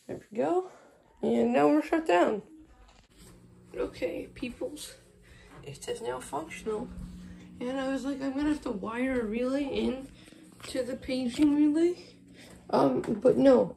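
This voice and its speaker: A woman's voice: high voice, vocal fry, warm tone, a little hiss or hum. A woman talking casually off the cuff as she explains a hands-on tech project, recorded indoors on a handheld phone camera. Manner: informal, explaining while handling gear. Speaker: video host showing a phone system project. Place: small indoor room with wooden floor. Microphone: handheld phone camera, moving around.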